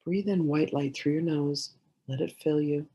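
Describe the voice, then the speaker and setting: A woman leading a guided meditation in a gentle, soothing way, giving slow instructions with many pauses. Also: deep voice, steady tone, slightly rough.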